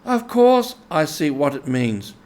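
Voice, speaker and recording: A grumbling, stubborn storybook mole, performed by a man reading aloud. He talks slowly and deliberately, with pettish sarcasm, his pitch raised in huffy complaint. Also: big pitch swings, a little mellow. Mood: unimpressed, huffy. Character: grumbling, stubborn, literal-minded male mole. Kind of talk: animal character in storybook reading.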